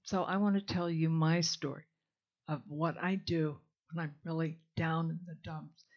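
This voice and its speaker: Older woman, low voice, candid speaker at a gathering, muffled lo-fi recording. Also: steady tone, normal speed. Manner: candid, chatty and warm-humored, with soft laughs. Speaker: invited speaker. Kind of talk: informal talk to a live audience. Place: hall with a live audience. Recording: dull lo-fi sound.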